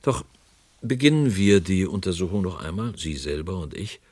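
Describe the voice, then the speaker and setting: An actor playing a grave police inspector, narrating his case formally and deliberately in a 1970s radio play. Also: low-pitched, lively intonation, darkish tone, breathy edge, no echo. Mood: grave, reflective.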